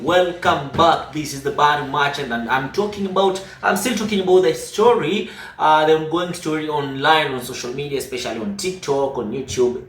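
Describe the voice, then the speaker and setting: A man hosting a reaction commentary channel, speaking in an earnest, measured and slightly hesitant way. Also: on the high side, a bit bright, echoey room. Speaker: channel host.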